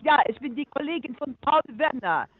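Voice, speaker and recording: A woman's voice: high-pitched, animated, normal speed. Woman on a bad, tinny phone line. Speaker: call center agent. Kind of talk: phone sales call.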